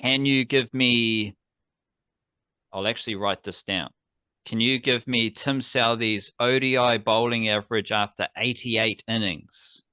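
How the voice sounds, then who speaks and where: A man's voice: medium pitch, steady tone, unhurried, sharp tone, clear, resonant tone, no room sound, studio quality. A man hosting a casual sports podcast, rambling enthusiastically and correcting himself as he goes. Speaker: podcast host.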